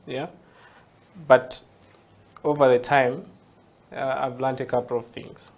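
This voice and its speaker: Ugandan guy, guest on interview, explains things slow and patient, keeps saying yeah?. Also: mid-range voice, little pitch movement. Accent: Ugandan English. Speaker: guest, tech entrepreneur.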